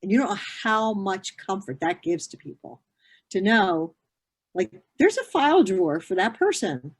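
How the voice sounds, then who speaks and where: A woman in her fifties talks through grief as a therapist. Her voice sits at a middle pitch, and her delivery is earnest and explanatory, full of fillers and restarts. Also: sing-song, moderate pace, slightly rough. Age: 50s. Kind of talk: conversational interview answers.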